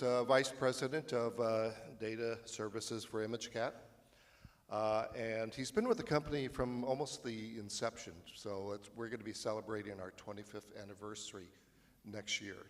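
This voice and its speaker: Joking male moderator in a big hall. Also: mid-range voice. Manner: jokey and informal. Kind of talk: speaker introduction. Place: large auditorium. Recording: long hall reverb.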